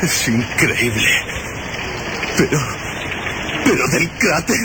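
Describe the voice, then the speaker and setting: A man playing a desperate, panicking man in a horror radio drama, halting and repeating himself in anguish. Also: fairly high pitch, sing-song, pitched above the performer's own voice. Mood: shaken, bewildered. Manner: halting, repetitive, anguished exclamations. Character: terrified, desperate man unraveling in claustrophobic panic. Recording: noisy radio drama with loud ambience.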